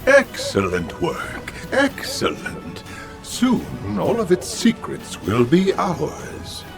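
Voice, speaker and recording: A man's voice: high-pitched, wildly swooping pitch, takes it slowly, creaky, over music, full character voice. An actor playing an eccentric, creepy older scientist-leader in a video game, theatrical and gloating, savoring his words. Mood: savoring, pleased. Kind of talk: video game cutscene acting.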